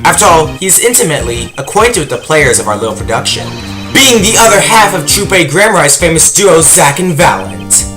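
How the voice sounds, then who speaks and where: A man's voice: fairly high pitch, sing-song, normal speed. A man playing a confident young prosecutor with a polished, teasing manner in a video game fandub, with music behind. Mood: smug, assured. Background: prominent music.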